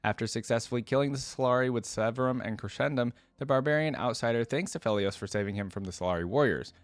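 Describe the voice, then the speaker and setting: A man reading lore aloud for a reaction video, dropping in casual, curious asides as he thinks out loud. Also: mid-range voice, fast talker, no echo.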